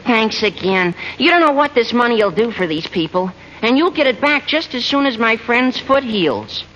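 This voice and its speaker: An American man playing an excitable, earnest teenage schoolboy with a gee-whiz manner in a 1940s radio sitcom. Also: very high-pitched, normal speed, heavily acted. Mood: earnest, grateful. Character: squeaky, excitable teenage boy student, earnest schemer. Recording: dull, noisy old radio recording, audience.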